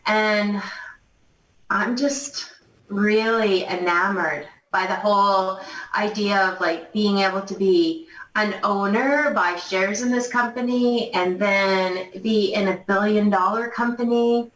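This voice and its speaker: A woman's voice: medium pitch, fairly even intonation, takes it slowly, a bit bright. A woman in her mid fifties giving an unscripted testimonial in a gushing, emphatic, enthusiastic way. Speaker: company distributor giving testimonial. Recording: roomy, echoey, distant mic.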